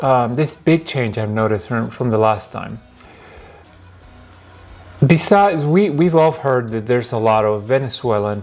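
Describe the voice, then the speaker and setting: Hesitant man. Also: medium pitch. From Peru. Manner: hesitant, many fillers and restarts. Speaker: podcast host. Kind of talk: casual solo podcast talk.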